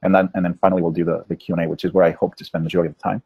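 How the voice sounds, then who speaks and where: Guy in his 30s, spanish speaker, really deep voice, coach doing a slide talk with lots of hesitation, muffled webinar sound. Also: level tone, very fast, vocal fry, husky. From Venezuela. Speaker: product management coach giving a webinar. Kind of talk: informal slide presentation. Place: small room indoors, on a video call. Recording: dull, lo-fi webinar audio.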